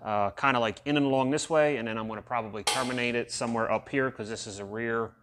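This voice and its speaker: A man in his thirties explaining a truck build in his garage workshop, halting with fillers as he walks through each step. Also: mid-range voice, steady tone, noisy background. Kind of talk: casual workshop update. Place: large garage workshop, indoors.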